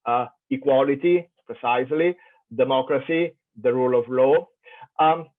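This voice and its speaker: A man's voice: mid-range voice, normal speed. A man from Italy with an Italian accent, giving a keynote lecture with lots of fillers and restarts. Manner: thoughtful, many fillers and restarts. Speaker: keynote speaker. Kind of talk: academic lecture. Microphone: online conference call. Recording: tinny phone-like audio.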